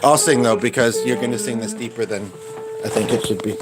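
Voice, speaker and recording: A man chatting casually at home between songs, rambling and trailing off in a soft voice. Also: mid-range voice, fairly quick. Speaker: host and singer. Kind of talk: casual chat during a music session. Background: loud guitar and piano music.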